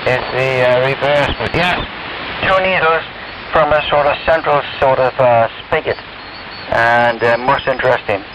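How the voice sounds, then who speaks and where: Man, bright edgy tone, rambling casual radio chat, lo-fi shortwave transmission. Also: mid-range voice. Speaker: amateur radio operator. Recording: hissy, band-limited shortwave radio signal. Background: radio hiss and static.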